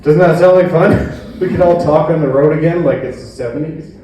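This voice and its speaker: A man doing a stand-up comedy routine on stage, with a halting, rambling delivery. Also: on the high side, warm tone. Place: indoor comedy venue stage. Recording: echoey room, distant mic, faint noise.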